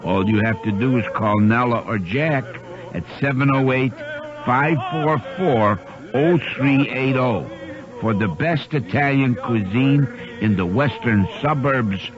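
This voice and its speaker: A man's voice: medium pitch, unhurried. A man reading a scripted local advertisement as a radio announcer. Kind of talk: local restaurant ad read. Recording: dull radio recording with music.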